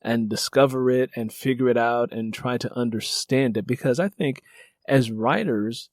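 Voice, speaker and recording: A man's voice: medium pitch, normal speed, no echo. Man interviewing, rambling conversationally in a dry room. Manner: animated storyteller who acts out remembered exchanges; rambling, repeats "you know". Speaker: interviewer and novelist.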